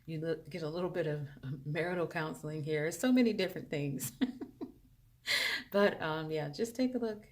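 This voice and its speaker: Low-voiced upbeat woman host. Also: moderate pace, long pauses, darkish tone. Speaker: host and life coach. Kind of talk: casual video interview.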